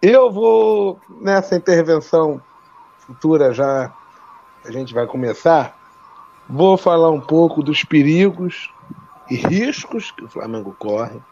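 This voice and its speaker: A man talks very slowly, feeling his way toward each word. The sound is dull and lo-fi. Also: a little high, animated, creaky, warm tone, a little hiss or hum. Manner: slow, searching for words. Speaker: podcast co-host. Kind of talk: casual podcast chat.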